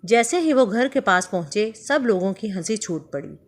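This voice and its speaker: A woman telling a moral story for children in calm, measured scripted narration. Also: medium pitch.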